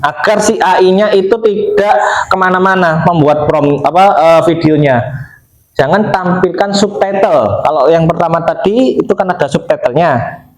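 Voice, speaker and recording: A man's voice: on the high side, moderate pace. A male teacher giving a classroom lecture, explaining step by step and asking and answering his own questions. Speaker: vocational school teacher. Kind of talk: classroom lecture with screen demonstration. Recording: roomy echo.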